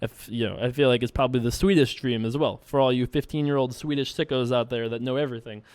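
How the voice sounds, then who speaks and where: Jokey, smooth-voiced man. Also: mid-range voice, steady tone, fast talker, darkish tone, no echo. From United States. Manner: rambling, jokey, self-mocking, laughs at his own jokes. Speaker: poker video host. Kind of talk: casual live commentary over online poker play.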